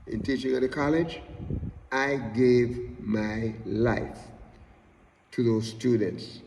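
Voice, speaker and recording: Slow, gravelly Jamaican preacher man. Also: mid-range voice, steady tone, a little mellow, slight background noise. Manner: slow, emphatic preaching with repeated refrains and rising outbursts. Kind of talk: sermon. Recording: long hall reverb.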